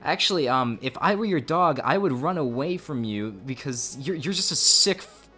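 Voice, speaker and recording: Lively male YouTuber over faint music. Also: medium pitch, normal speed. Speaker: YouTuber, channel host. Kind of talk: scripted story narration and confrontation.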